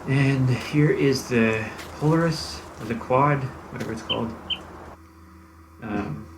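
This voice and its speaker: A man's voice: mid-range voice, takes it slowly, vocal fry. An American man thinking aloud as he narrates a role-play farming game. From United States. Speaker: gaming channel host. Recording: loud vehicle engine noise behind. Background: game engine and vehicle sounds.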